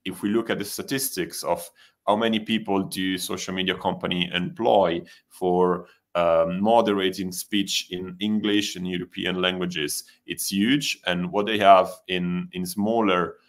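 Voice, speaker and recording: A man's voice: deep voice, steady tone, measured pace. A man whose first language is Italian, speaking as a panelist in a discussion, thoughtful and hesitant with frequent restarts.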